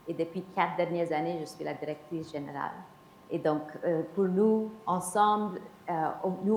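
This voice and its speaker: A woman from India, a nonprofit director, telling her story on a conference panel in an earnest, halting way with restarts. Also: lowish voice, a little mellow, slight background noise. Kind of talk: panel talk, personal story. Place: conference hall with audience. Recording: echoey hall sound.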